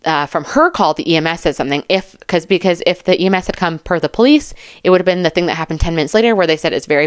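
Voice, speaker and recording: A woman commentator talks casually and emphatically, as if chatting straight to her viewers. Her voice sits a little low, and she rambles in an animated, informal way. The sound is dry, with very little room to it. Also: smooth, dry recording. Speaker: true crime commentary YouTuber.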